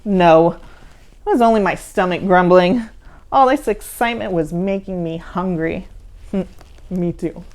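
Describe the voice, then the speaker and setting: A woman's voice: lowish voice, darkish tone. A woman reading a children's storybook aloud as a storyteller, theatrical and suspenseful. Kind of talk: dramatic children's storybook narration.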